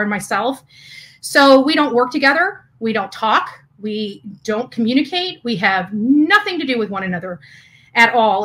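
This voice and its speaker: A woman in her forties giving a halting, indignant personal vlog rant, repeating words for emphasis, recorded indoors in a small room. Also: mid-range voice, fairly bright tone. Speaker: YouTuber. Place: small hotel or bedroom, indoors.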